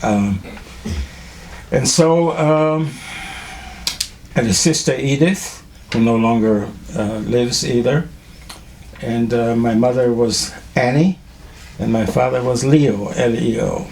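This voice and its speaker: An older man from Czechoslovakia whose first language is German, telling students about his early life in a halting way, with many fillers and restarts. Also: medium pitch, vocal fry, a little mellow, slight background noise. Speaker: Holocaust survivor speaking to students. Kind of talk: testimony to a school class.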